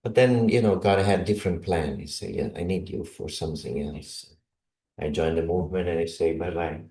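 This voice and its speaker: A man's voice: deep voice, moderate pace, mellow. A Brazilian-born man chatting casually with a congregation before his sermon, laughing often and tagging "you know" and "right?" onto his sentences. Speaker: visiting preacher. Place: church hall. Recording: echoey hall, mic from a distance.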